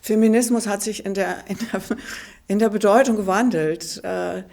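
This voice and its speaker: A woman's voice: medium pitch, fairly even intonation, normal speed, creaky, darkish tone. A woman in her sixties from Germany, giving a thoughtful, hesitant interview answer. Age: 60s. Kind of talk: reflective interview clip.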